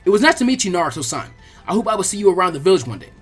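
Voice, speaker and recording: A storyteller voicing an earnest, over-excitable teenage boy martial artist who speaks in formal, emphatic declarations. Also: medium pitch, rapid-fire, breathy edge, soft background music. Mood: eager, polite.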